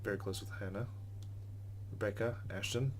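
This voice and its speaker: A man reading visual novel narration aloud as a let's player, measured and reflective, with music playing behind him. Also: fairly low pitch, steady tone, a little hiss or hum.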